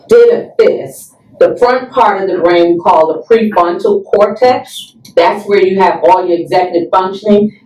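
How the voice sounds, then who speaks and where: A woman's voice: mid-range voice, darkish tone. A woman giving a conversational, instructive explanation as a guest expert, often checking in with short tag questions. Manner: checks in often with right and okay. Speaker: guest expert in substance use and overdose prevention education. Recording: roomy echo, distant from the mic.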